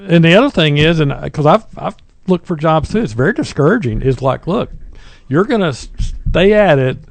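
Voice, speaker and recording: एक पुरुष सह-होस्ट, खरखराती आवाज़, आरामदेह सलाह देता हुआ, सूखी स्टूडियो ध्वनि।. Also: male voice, fairly high pitch, expressive, gravelly, no echo. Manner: easygoing advice giver, halting restarts. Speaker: radio show co-host. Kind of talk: casual radio talk show.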